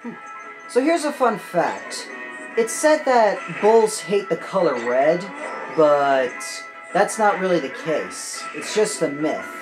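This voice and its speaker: A gamer hosting a casual walkthrough, with a very lively, swinging pitch and an unhurried pace. They talk to themself as they play and are quick to exclaim. Music plays behind the voice. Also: rough recording. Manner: excitable exclamations and self-talk while playing. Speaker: video game walkthrough host. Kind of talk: casual gameplay commentary.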